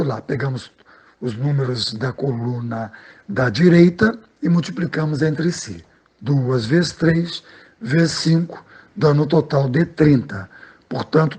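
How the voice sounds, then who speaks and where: Very husky-voiced man teaching math. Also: mid-range voice, a little mellow. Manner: patient, explanatory, frequent "né" and "ok?" checks. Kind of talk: step-by-step lesson narration.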